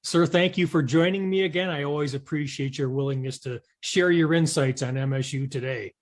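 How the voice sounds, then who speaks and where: Male interview host, somewhat high-pitched, delivering scripted radio-style setups and questions to a guest. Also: a little fast.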